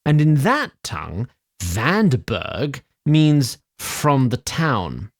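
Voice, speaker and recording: A man as a wry, very animated fantasy storyteller. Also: mid-range voice, slow, no room sound, studio quality, heavily acted. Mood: exasperated aside. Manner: formal, wry, prone to asides. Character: chatty, digressive, fussy male tree sprite storyteller. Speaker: narrator. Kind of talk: theatrical scripted fantasy narration.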